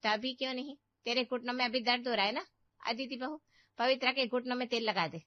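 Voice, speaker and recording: Woman playing high-voiced nagging, boastful older aunt. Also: a little fast, no room sound, natural voice. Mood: critical, boastful. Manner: scolding, complaining, exclamatory. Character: boastful, nagging elder aunt, fault-finding older sister. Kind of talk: Hindi cartoon family story dubbing. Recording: dull, muffled studio voice track.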